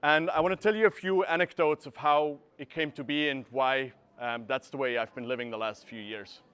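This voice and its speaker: A young man from Switzerland, giving an earnest presentation and often pausing to search for words, recorded from a camera across a room. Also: mid-range voice, fairly even intonation, fairly bright tone, smooth. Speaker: speaker. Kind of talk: presentation talk. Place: gallery room with a seated audience.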